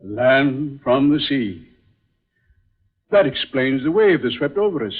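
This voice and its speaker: Excitable learned gentleman, tinny old radio. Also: medium pitch, slow, breathy edge, exaggerated voice. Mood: awed certainty. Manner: precise, theatrical, exclamatory. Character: excitable, learned older gentleman, Judy's father. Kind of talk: 1940s radio acting. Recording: thin, phone-like old radio recording.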